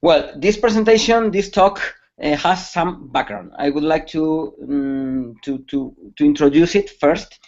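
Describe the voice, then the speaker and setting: A man's voice: a little high, a little slow, a little mellow. A man from Spain with a Spanish accent, giving a webcast security talk in English, hesitant with many fillers and restarts. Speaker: security conference speaker. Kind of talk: webcast presentation. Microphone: computer mic on a video call. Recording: dull lo-fi webcast audio.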